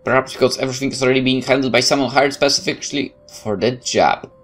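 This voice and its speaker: A man's voice: mid-range voice, steady tone, fast talker, fairly bright tone. A man doing a let's play, reading a visual novel's narration aloud and adding wry casual commentary, with game music behind him. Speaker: gaming YouTuber.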